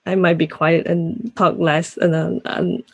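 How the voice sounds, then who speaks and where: A woman with a Malaysian accent chats as a podcast guest. Her voice is husky and creaky, recorded close and dry. She talks in a halting, unassertive way. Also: fairly low pitch, level tone, no echo. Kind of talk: casual interview conversation.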